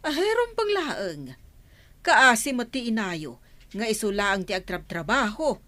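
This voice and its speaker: A woman's voice: fairly high pitch, sing-song, measured pace, bright, forceful tone, dry recording, exaggerated voice. A woman playing a nagging landlady in an Ilocano comedy radio drama, scolding matter-of-factly with an Ilocano Filipino accent. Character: nagging landlady chasing overdue rent.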